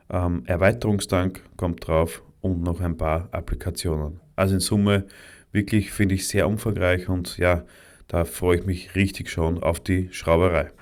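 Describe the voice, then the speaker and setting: A man's voice: low-pitched, a little mellow. A man with an Austrian accent talking casually to his viewers as a motorcycle vlogger, enthusiastic and rambling with fillers. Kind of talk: casual vlog talk to viewers.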